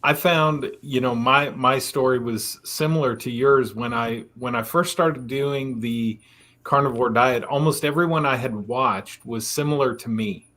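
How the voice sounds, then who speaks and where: A man in his forties hosting a casual interview, talking in a relaxed, easygoing way with soft fillers. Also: mid-range voice, unhurried. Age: 40s. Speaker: interview host, YouTuber.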